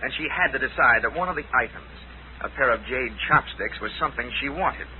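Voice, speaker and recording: A man's voice: mid-range voice, animated, brisk, natural voice. Sardonic playboy on tinny old radio man acting. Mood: teasing, suave. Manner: languid, mocking, suave. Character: sardonic bourbon-loving playboy, flippant and possessive. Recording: scratchy, tinny 1940s radio recording.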